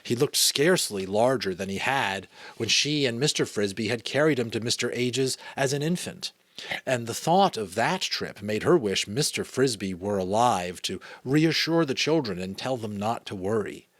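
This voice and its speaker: A man with an American accent reading a storybook as an amateur narrator, with expressive phrasing. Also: medium pitch, moderate pace, no echo. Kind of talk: dramatic storybook reading with casual asides.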